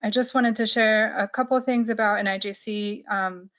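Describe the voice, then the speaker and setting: A young woman walks viewers through a policy report on a video call. She talks haltingly, with frequent fillers and restarts. The call audio is dull and lo-fi. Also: mid-range voice, fairly even intonation, a bit bright. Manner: hesitant, many "um"s and restarts. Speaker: policy analyst presenting a report. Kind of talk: webinar presentation.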